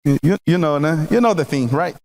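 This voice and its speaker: A man's voice: fairly high pitch, brisk, a little mellow, big-room sound. A man from Brazil with a Brazilian accent, a native Portuguese speaker, giving emphatic marriage advice and asking the listener rhetorical questions. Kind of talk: recorded motivational talk.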